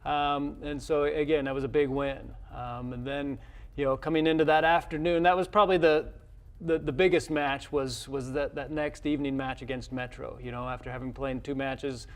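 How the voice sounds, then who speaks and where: A man, a college coach, answering interview questions in a television studio in a halting, modest way that often repeats words. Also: medium pitch, level tone. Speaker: college tennis head coach, interview guest. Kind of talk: unscripted interview talk.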